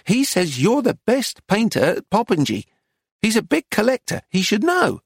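Audiobook role: bossy middle-aged woman art dealer, played by a man, pitched high, swooping delivery, close dry sound. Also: very animated, moderate pace, gravelly, breathy edge, no echo, full character voice. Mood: insistent, selling. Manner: scolding, emphatic saleswoman. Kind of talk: acted audiobook dialogue.

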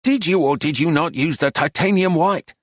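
A woman's voice: deep voice, normal speed, no echo, studio quality, pitched above the performer's own voice. A man voicing a bossy, anxious woman art dealer who scolds and presses with demanding questions in a story reading. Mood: scolding, demanding.

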